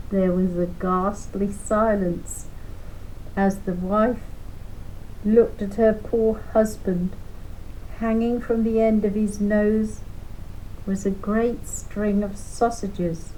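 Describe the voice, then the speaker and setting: An elderly woman reads a children's folk tale at a very slow, deliberate pace. Her tone is slightly mellow and mid-pitched. She is recorded at home on a webcam, with faint noise. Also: rough recording. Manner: deliberate, phrase-by-phrase reading. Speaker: storyteller. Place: living room at home, indoors.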